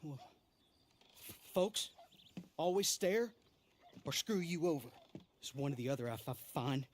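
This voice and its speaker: An actor playing a nervous, suspicious small-time outlaw with a heavy stutter in a video game. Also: male voice, fairly high pitch, animated, a little slow, a little hiss or hum. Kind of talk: video game character acting.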